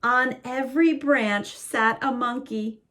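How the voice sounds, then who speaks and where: A woman reading a picture book aloud as a bedtime story, in a slow, soothing, expressive way. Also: fairly high pitch.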